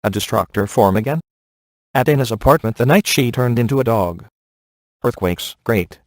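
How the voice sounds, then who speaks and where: An actor playing a streetwise, exasperated ghost hunter in a video game, informal and grumbling. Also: male voice, medium pitch, lively intonation, moderate pace, no room sound, studio quality, natural voice. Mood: concerned.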